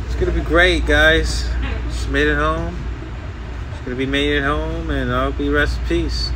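A man's voice: medium pitch, noisy background. A man in his thirties talking casually to his phone camera as a vlogger, filling gaps with "you know" and "um". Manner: chatty, with fillers like "you know" and "um". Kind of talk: casual vlog talk to camera. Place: outdoors and in a car, later at home. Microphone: phone held at arm's length.

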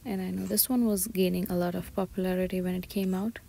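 A woman shopping vlogger giving soft, breathy, often half-whispered ASMR-style commentary as she describes items one by one inside a store. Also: lowish voice, fairly even intonation, fast talker. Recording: store ambience noise behind soft voice.

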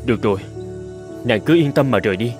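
A man's voice: medium pitch, expressive, brisk, darkish tone, soft background music, close to an everyday voice. A man playing a tender, earnest young scholar in a folktale cartoon, speaking in formal old-fashioned phrases. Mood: concerned. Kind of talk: dramatic cartoon voice acting.

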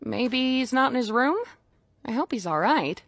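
A woman's voice: fairly high pitch, lively intonation, moderate pace, fairly bright tone. A woman voicing a caring but nervous rag doll in a cartoon, prone to stammering. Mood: nervous, worried. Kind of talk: animated series voice acting.

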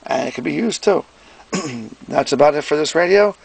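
A man giving a casual hands-on product demonstration as presenter, plain-spoken and folksy, often clearing his throat. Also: a little high, animated, moderate pace. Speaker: presenter, radio restorer and seller. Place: indoor workbench.

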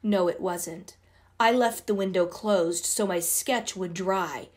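A woman as calm big brother Oliver. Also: mid-range voice, takes it slowly, close to an everyday voice. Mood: reproachful. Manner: calm, gently reproachful. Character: patient older brother Oliver, a boy.

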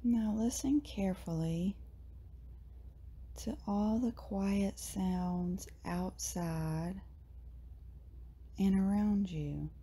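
Woman, meditation guide, hushed breathy voice, dark soft tone, gentle and soothing, short phrases with long pauses. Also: mid-range voice. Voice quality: soft, breathy, often near whisper.

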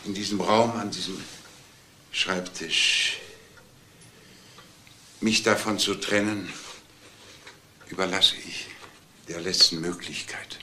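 A formal older businessman in a crime radio play, wistful and halting. The voice is fairly low and sharp, over faint hiss. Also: fairly even intonation, full character voice.

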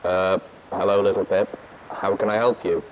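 A man performs a shy watcher speaking through a robot. The voice is tinny, artificial and halting, a timid storybook character. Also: low-pitched, flat delivery, slight background noise, natural voice. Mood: hesitant.

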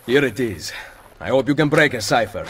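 A man's voice: medium pitch, brisk, long reverb tail, slight background noise, close to an everyday voice. A man playing a wry, confident assassin. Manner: calm, wry, understated. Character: confident Italian male assassin, dry wit. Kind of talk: video game cutscene dialogue.

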